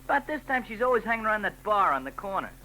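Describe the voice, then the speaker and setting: An actor playing a cheeky, streetwise young newsboy in a 1940s radio drama, chatty and knowing. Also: male voice, high voice, very animated, rapid-fire, pushed and bright, heavily acted, poor audio. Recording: old radio broadcast with hiss.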